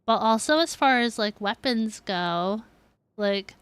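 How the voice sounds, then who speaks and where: A woman's voice: on the high side, expressive, slow, fairly bright tone, smooth, dry recording. A woman chatting casually to viewers as she streams a game. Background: game music.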